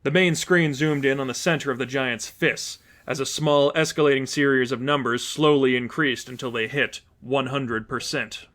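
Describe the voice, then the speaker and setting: A man's voice: medium pitch, steady tone, normal speed, few pauses, fairly bright tone. A man reading as narrator, delivering scripted fiction in a storytelling style.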